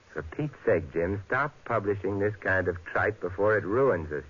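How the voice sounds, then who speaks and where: Suave sleuth, low voice, urbane, dryly ironic, unflappable, muffled noisy 1950s radio drama. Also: male voice, expressive, a little slow, a bit bright. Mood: cool, wry. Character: suave, wry amateur sleuth, adult man, unflappable.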